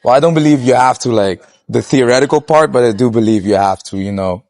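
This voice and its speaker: A young man from Curacao talking outdoors on a city street as an interviewee, relaxed and blunt, swearing freely and laughing as he talks. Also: medium pitch, fast. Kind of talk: casual street conversation.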